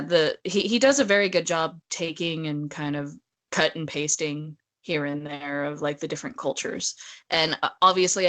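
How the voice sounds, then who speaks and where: A woman's voice: low voice, a bit bright. A woman co-hosting a casual discussion in an informal, conversational way, often saying "like". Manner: informal, peppers speech with "like". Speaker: podcast co-host. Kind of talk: casual chat.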